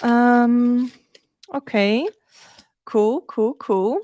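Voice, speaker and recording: A woman's voice: fairly high pitch, extremely slow, dark tone, dry recording. A woman from the Netherlands with a Dutch accent, chatting casually as a live-streaming tarot reader. She thinks aloud informally and often repeats herself.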